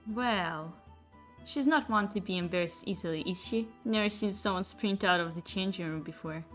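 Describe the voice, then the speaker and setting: A woman's voice: mid-range voice, moderate pace, fairly bright tone, lightly acted. A woman voicing a courteous, gossipy cat-girl bathhouse attendant in a fantasy audiobook, with formal phrasing. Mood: polite, explaining. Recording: music behind the voice.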